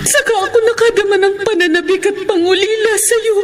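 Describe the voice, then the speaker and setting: A woman playing a remorseful older mother in a Filipino radio drama, with a Filipino accent and a tearful, melodramatic, drawn-out delivery. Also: extremely high pitch, steady tone. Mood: remorseful, weeping. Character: remorseful, weeping older mother begging her son's forgiveness. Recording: melodramatic music behind the voice.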